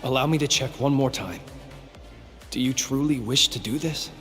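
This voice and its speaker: A man's voice: medium pitch, normal speed, fairly bright tone, natural voice. An actor playing a loyal, worried soldier in a video game, speaking formally and deferentially to his lord. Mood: hesitant, respectful. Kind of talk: dramatic video game acting. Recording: long hall reverb, game music underneath.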